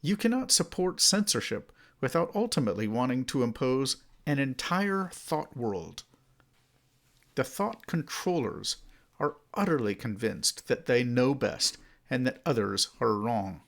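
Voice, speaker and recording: A man reading his own written essay as narration, in an emphatic, declarative manner with deliberate phrasing. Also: mid-range voice, animated, creaky.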